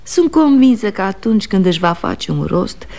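An actress playing a patient, worried wife and mother in a radio drama, gently pleading and soothing. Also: mid-range voice, very animated. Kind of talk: 1960 Romanian radio theatre acting.

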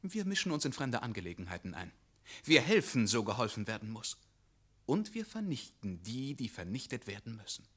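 An earnest, courteous knight errant, in a theatrical radio play. The voice is bright and husky, swinging widely in pitch as he speaks with deliberate resolve. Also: sing-song. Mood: solemn, resolute.

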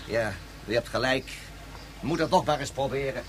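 Stuffy court official for radio drama, acted by a man: pompous, formal, mid-pitched, faint noise behind. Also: normal speed, close to an everyday voice, low-quality recording. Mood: affronted. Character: stuffy, self-important male court official. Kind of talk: Dutch radio drama acting.

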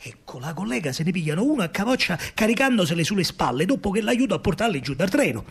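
An actor playing an excitable working-class man with a Roman accent and a husky voice, recounting wartime scavenging in a colloquial theatrical monologue. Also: high voice, fast, full character voice. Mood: excited. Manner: animated storytelling, colloquial.

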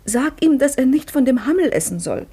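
An actress playing Fatime, a clever and devout young wife who is playful, earnest and intimate in a 1950s radio play. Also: female voice, high-pitched, big pitch swings, a little fast, warm tone, husky, heavily acted. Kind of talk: 1950s radio drama acting.

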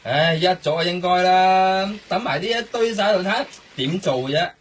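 An actor with a Hong Kong accent playing a cynical, gossiping police colleague in a radio drama. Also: male voice, sits high, normal speed, slightly rough.

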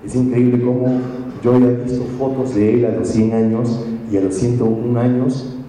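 A man's voice: medium pitch, fairly even intonation, moderate pace, warm tone, slight background noise. A man giving a conference talk to an audience, telling stories in a reflective way and often ending with a questioning "right?". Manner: reflective, storytelling, frequent tag questions. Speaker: journalist and speaker. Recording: echoey hall from far off.

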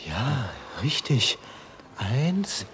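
Slow-witted henchman, middle pitch, halting, hesitant, comic radio gangster play. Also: very animated, a bit bright, breathy edge, heavily acted. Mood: drowsy, confused. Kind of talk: radio drama acting, comic.

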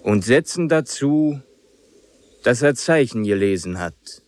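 A man's voice: mid-range voice, animated, takes it slowly, a little mellow. A man playing an earnest, insistent mourner in a radio drama. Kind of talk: literary radio drama acting.

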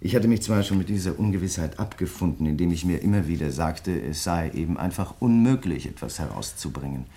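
Crime writer in a radio play: very deep, grieving, measured, politely insistent. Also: male voice, animated, warm tone. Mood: troubled, brooding. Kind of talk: German radio play dialogue.

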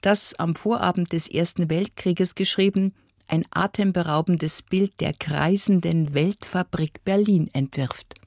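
A woman's voice: on the low side, measured pace, vocal fry, darkish tone, dry recording, studio quality. A woman radio announcer reading a scripted introduction to a literary radio drama. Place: dry studio.

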